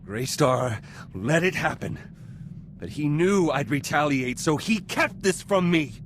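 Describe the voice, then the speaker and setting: Bitter male rebel soldier for a game: clipped, intense, widely swinging pitch, faint ambience. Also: animated, unhurried, slight background noise. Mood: outraged. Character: bitter, vengeful male resistance fighter, fiercely loyal. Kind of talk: scripted video game voice acting.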